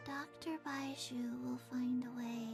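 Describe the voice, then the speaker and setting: Very slow, soft little girl. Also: high-pitched, fairly even intonation, vocal fry, over music, heavily acted. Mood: gentle, reassuring. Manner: slow, halting, subdued delivery. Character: slow-talking little girl zombie helper, gentle and childlike. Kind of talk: video game voice acting.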